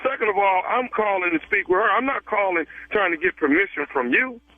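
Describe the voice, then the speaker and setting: Man in his thirties, fairly high voice, casual prank caller who stalls with fillers, heard through a dry tinny phone line. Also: lively intonation. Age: 30s. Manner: halting, casual, many fillers.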